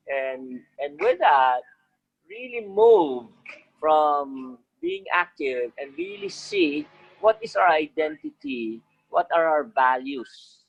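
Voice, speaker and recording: A man's voice: fairly high pitch, creaky, slightly rough. A man moderating an online meeting, speaking in a rallying style and putting questions to the group. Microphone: video call. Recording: dull, lo-fi video call audio.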